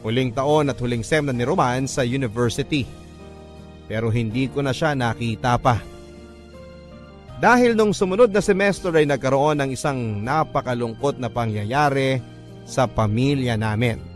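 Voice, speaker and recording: A man's voice: mid-range voice, moderate pace. Casting: male Filipino narrator, mid-range voice, scripted sentimental storytelling over music. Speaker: radio love story narrator. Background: background music.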